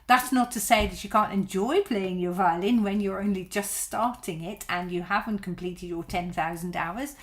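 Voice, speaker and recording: A woman's voice: medium pitch, moderate pace, few pauses. A middle-aged woman whose first language is Dutch, a violin teacher chatting on a live stream and answering viewer questions in a reassuring way.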